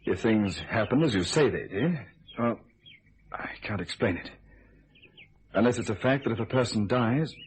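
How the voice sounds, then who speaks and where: Low, expressive, placating man played by an actor. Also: slight background noise, lightly acted. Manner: earnest, placating, dramatic. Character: anxious secret lover, soothing and evasive. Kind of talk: radio thriller acting.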